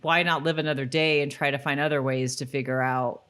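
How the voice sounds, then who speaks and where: A woman's voice: extremely low pitch, fairly even intonation, a little fast, a bit bright, smooth. A woman co-hosting a podcast in a casual, informal, conversational style. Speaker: podcast co-host. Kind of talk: casual rewatch chat.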